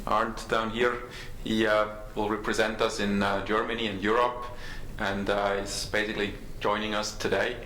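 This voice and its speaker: Guy introducing folks, low-ish voice, stumbles a lot, echoey room. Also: level tone, bright, forceful tone. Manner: halting, self-deprecating, many fillers. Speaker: company host introducing guests. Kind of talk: informal introductions. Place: large conference room. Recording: echoey room, faint noise.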